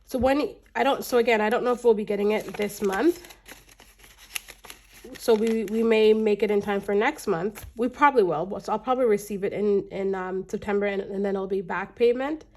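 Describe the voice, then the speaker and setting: A woman in her thirties talks to her camera in an easy, rambling vlog style, starting sentences over and filling gaps with little ums. Her voice is slightly raspy and congested from a lingering cold. Her pitch sits at an ordinary middle height. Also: moderate pace, a little mellow. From Canada. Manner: chatty, rambling, frequent restarts and ums. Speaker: budgeting vlogger. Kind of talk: casual chat to camera.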